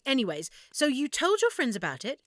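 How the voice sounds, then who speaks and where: A woman playing an affectionate, excitable half-elf shopkeeper in a roleplay audio drama, speaking expressively and warmly to the listener. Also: high voice, brisk, no room sound, clean studio sound, full character voice. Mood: intrigued, attentive. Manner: expressive, warm, reacting with feeling.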